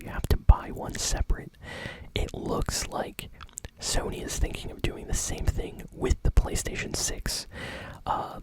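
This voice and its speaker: A woman whispering softly in a casual ASMR style as she hosts a video game news update. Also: low-quality recording. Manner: soft, unvoiced whispering throughout.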